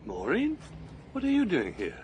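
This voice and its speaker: An actor playing an earnest, gently awkward older man in a radio drama, hesitant at times. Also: sits high, wildly swooping pitch, moderate pace, a little hiss or hum.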